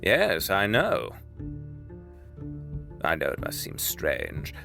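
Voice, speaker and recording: A discreet, serious Victorian English lawyer in an audio drama. His low voice moves up and down expressively over a music bed. He speaks formally and deliberately, probing as he goes. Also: wildly swooping pitch, vocal fry, pushed and bright, exaggerated voice. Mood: grave, earnest.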